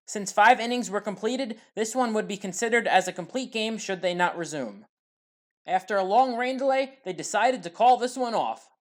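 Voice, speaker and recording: A woman giving a scripted play-by-play voice-over of game highlights, in a steady recap delivery that lifts on big plays. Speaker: highlights commentator.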